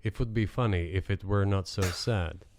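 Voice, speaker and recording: A man interpreting an interview into English in a measured, formal way. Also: low voice, darkish tone, a little hiss or hum. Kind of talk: simultaneous interpretation.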